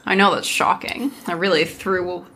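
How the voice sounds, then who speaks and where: A woman's voice: lowish voice, fairly even intonation, normal speed, sharp tone, slight background noise. A woman hosting a casual podcast, chatting breezily with friends and leading into a folk tale. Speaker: podcast host. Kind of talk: casual storytelling chat with friends.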